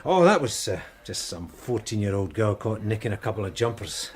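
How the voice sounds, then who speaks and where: An actor playing a weary but genial police detective sergeant in a radio drama, with a wry manner. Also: male voice, fairly low pitch, normal speed. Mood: brisk, friendly. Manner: wry, animated, hesitant asides.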